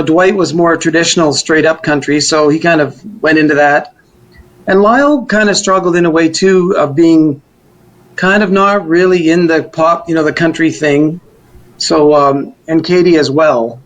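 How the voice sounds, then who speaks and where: A man's voice: a little high, poor audio. A middle-aged or older man, reminiscing casually as an interviewee in a rambling, informal way. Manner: rambling, informal, hedging with "kind of" and "you know". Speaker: musician interviewee.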